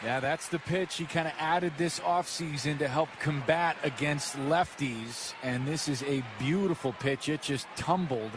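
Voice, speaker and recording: A man's voice: fairly high pitch, a little slow, few pauses. An American man giving informal, conversational analysis as a live baseball color commentator. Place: stadium broadcast booth. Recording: noisy live ballpark broadcast.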